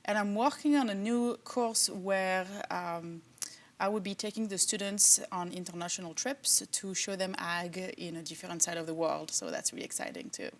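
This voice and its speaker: A middle-aged woman from France, a professor answering interview questions in a relaxed, thoughtful way with many fillers. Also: lowish voice, moderate pace. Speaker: agriculture professor and department chair.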